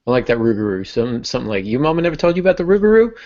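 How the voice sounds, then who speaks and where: A man's voice: medium pitch, sing-song, rapid-fire, dark tone. A man moderating a podcast in casual conversation, thinking aloud as he makes his points. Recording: dull, lo-fi podcast audio.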